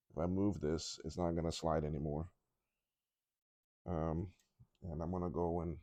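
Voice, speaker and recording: A man talking casually through a soldering job at his workbench, halting and rambling, his voice a little congested from a cough. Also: low voice, mellow, dry recording. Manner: rambling, halting, with many restarts and ums. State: congested, slightly rough from a cough. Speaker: electronics repair hobbyist.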